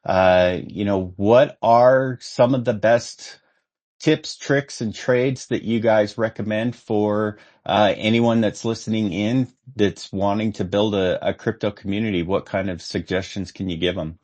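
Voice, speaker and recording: A man with a low voice hosting a casual podcast interview. He speaks in a laid-back way. He fills pauses with plenty of "uh"s as he works his way toward each question. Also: steady tone, a little mellow. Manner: laid-back, many uh fillers, drawn-out questions. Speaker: podcast host.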